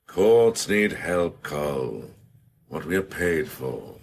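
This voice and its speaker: Deep, gravelly, slow policeman. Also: big pitch swings, slight background noise, exaggerated voice. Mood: grim, brooding. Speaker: actor in a radio crime drama. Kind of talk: radio drama acting.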